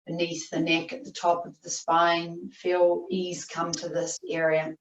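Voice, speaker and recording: A woman yoga teacher leading a guided meditation, giving soothing, unhurried instructions with long pauses. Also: lowish voice, completely flat delivery. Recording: roomy, distant, muffled video-call audio.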